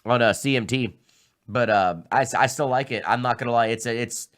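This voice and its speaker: A man's voice: medium pitch, fairly quick, fairly bright tone. A man hosting a casual podcast chat with friends, talking in a rambling way full of fillers and restarts. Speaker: podcast host.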